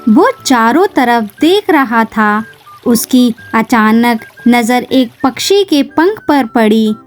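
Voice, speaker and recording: A woman's voice: fairly high pitch, expressive, takes it slowly, soft background music. A woman narrating a scripted children's story.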